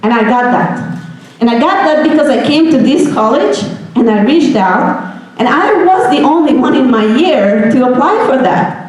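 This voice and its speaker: A woman's voice: high-pitched, expressive, moderate pace, darkish tone. Hungarian woman giving a pep talk to students, earnest, asks questions, lots of room echo. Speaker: guest speaker. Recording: echoey room recording.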